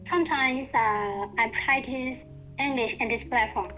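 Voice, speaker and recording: Hesitant high-voiced Thai woman. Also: a little slow, sharp tone, faint music behind, rough recording. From Thailand. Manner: hesitant, searching for words with many fillers. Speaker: guest, university student. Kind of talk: casual language-practice chat.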